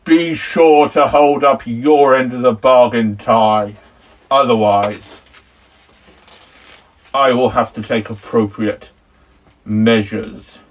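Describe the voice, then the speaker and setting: Very slow, menacing tyrant villain played by a man. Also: medium pitch, muffled recording, lightly acted. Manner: slow, deliberate, pompous. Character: menacing multiversal tyrant villain, self-styled king ranger. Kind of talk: amateur audio drama villain acting.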